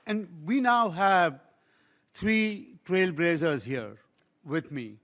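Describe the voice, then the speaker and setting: A man's voice: high-pitched, a bit bright, slight background noise. A man moderating a panel, effusive in his praise, with many fillers. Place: conference hall.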